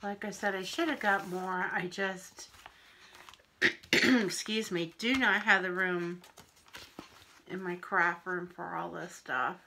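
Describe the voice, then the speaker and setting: A middle-aged woman from Pennsylvania, a little wheezy and often clearing her throat, chatting through a craft haul on camera in her home kitchen. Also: on the low side, fairly even intonation, bright, forceful tone, slight background noise. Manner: chatty, unhurried, friendly show-and-tell. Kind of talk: casual haul video. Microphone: camera in front at arm's length.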